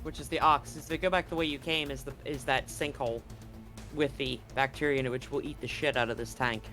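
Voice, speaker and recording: Halting male game master over faint music. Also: mid-range voice, steady tone, sharp tone. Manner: halting, repeats words while improvising. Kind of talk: improvised tabletop game narration.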